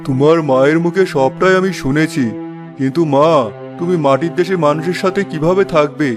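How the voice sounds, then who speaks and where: Man as a doting, fairly high-voiced fairy king. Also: a little fast, music in the background, natural voice. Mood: worried, indulgent. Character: doting fairy king father, worried and indulgent. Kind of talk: children's cartoon voice acting.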